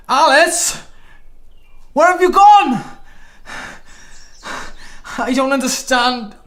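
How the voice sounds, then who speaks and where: A man's voice: extremely high pitch, a bit bright, heavily acted. An actor in a radio drama playing a troubled, drifting man in love, earnest and emotional. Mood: distraught.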